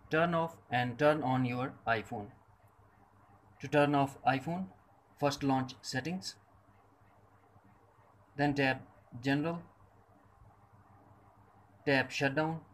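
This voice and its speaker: A man's voice: medium pitch, slow, long pauses. A man reading a scripted step-by-step tech tutorial in short, separated instructional phrases. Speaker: tutorial presenter.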